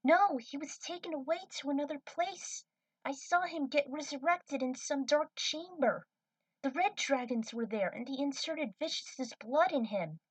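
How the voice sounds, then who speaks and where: A woman voicing an earnest, excitable young female space cadet in a fan fiction story reading. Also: high-pitched, a little slow, dry recording, recorded from a distance, pitched above the performer's own voice, rough recording. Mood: earnest, urgent.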